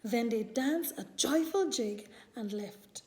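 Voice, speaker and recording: A woman storyteller reading a picture book aloud to young children in a deliberate, expressive way. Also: a little high.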